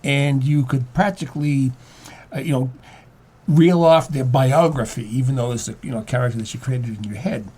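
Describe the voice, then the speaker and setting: A man in his seventies talking casually and reflectively as a guest writer, halting and repeating words as he goes. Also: medium pitch, darkish tone, breathy edge. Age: 70s.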